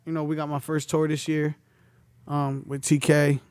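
A young man in his twenties chats loosely as a rapper guest, dropping street slang and filler phrases as he goes. His voice sits a touch higher than most men's. The sound is close and dry. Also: monotone, normal speed, darkish tone, smooth, no echo. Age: 20s. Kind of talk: casual interview chat.

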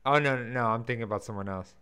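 A man's voice: medium pitch, racing, pushed and bright, clear, resonant tone. A man in his twenties, a co-host chatting casually and easily, often repeating short phrases. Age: 20s. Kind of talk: casual podcast chat.

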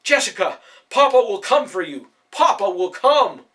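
Brief: narrator putting on an outraged watchman father, urgent and loud, higher voice. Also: lively intonation, normal speed. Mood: desperate. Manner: yelling, urgent. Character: desperate father, a town watchman, angry and pleading.

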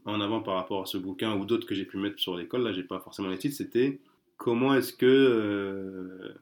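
A man's voice: on the low side, steady tone, normal speed. A man chatting casually as an interview guest, hesitant, with many fillers and restarts. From France.

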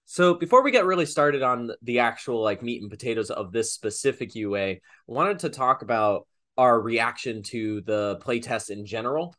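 Bright-voiced rambling male host. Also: mid-range voice, lively intonation, normal speed. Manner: rambling, hesitant, many fillers. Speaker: podcast host and game master. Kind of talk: casual chat.

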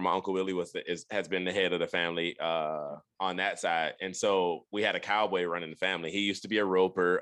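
A man's voice: deep voice, a bit bright, no echo. A young man from Detroit, Michigan, speaking as a podcast guest, telling his story in a rambling way with many restarts. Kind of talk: casual storytelling interview.

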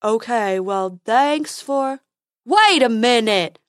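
Woman doing a slow, dopey male unicorn. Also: fairly high pitch, lively intonation, no echo, clean studio sound. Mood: alarmed gossip. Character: silly, stubborn male unicorn, simple and gossipy. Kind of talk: storybook character voice.

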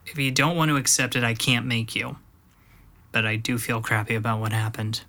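Man acting a very bright, sharp young cousin. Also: mid-range voice, little pitch movement, brisk. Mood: remorseful. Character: younger male cousin, remorseful, awkward but frank. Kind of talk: audio drama acting.

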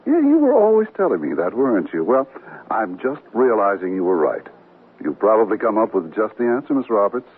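Very husky, stuffy gentleman. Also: fairly low pitch, huge pitch swings, a little fast, heavily acted. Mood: eager, stuffy. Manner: pompous, formal, courtly. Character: stuffy, conservative, eager, gentlemanly bachelor. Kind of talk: 1970s American radio comedy acting. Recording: muffled band-limited radio recording.

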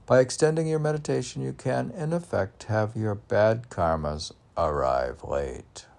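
A man's voice: lowish voice, animated, unhurried, gravelly, a little mellow. A man giving an informal reading of his own poetry with rambling asides, musing and questioning himself aloud as he repeats lines. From United States. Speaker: poet reading and commenting on own poems. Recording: faint background noise.

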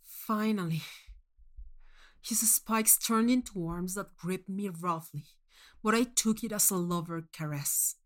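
A woman narrates first-person fiction in a medium-pitched voice. She takes her time with the scripted text, measured yet expressive in her storytelling. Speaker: short story reader.

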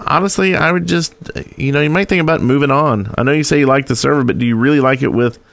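A man's voice: mid-range voice, fast talker, creaky, dry recording. A man hosting a casual podcast, talking easily with plenty of filler words and handing out practical advice. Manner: relaxed, frequent "uh" fillers, gives practical advice. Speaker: podcast host.

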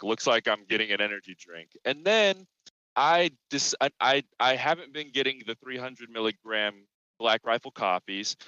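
Bright-voiced young man rambling on a call. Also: on the low side, smooth, no room sound. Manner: rambling storyteller, swears freely, restarts often. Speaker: podcast co-host. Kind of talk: casual chat. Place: at work. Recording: lo-fi call audio.

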